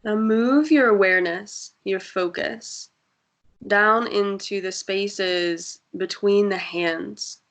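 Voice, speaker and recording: A woman guiding a breathing meditation in a soft, soothing voice with long pauses. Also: medium pitch. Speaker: meditation guide.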